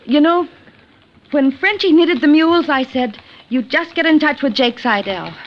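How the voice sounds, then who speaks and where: A woman's voice: high voice, animated, moderate pace. An actress playing a bold former showgirl of the Old West in a folksy, familiar manner, in old-time radio western style. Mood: brassy, familiar. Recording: lo-fi 1950s radio recording, faint noise.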